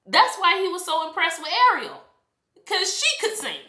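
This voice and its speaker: An American woman ranting in a casual, animated and exasperated way as she reviews a movie for her vlog. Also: extremely high pitch, normal speed, reverberant. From United States. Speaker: vlogger.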